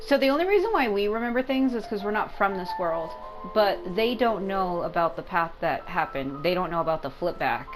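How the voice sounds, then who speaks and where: A woman's voice: mid-range voice, expressive, brisk, rough recording. A woman gaming streamer giving casual, reactive commentary as she plays. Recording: game music behind.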